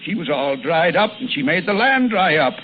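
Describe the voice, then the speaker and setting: An actor playing a grim, obsessive older farmer with a rural American accent in an old radio drama. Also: male voice, on the high side, big pitch swings, moderate pace. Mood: fervent, obsessive. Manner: brooding, menacing, folksy. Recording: narrow, hissy vintage radio recording.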